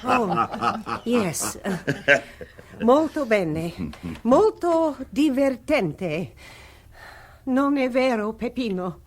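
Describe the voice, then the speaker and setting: An affected English lady of society in a radio comedy drama. She speaks slowly and hesitantly in a high voice that swoops extravagantly. She sounds flustered but keeps up her pretensions. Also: very animated, full character voice. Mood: falsely gracious.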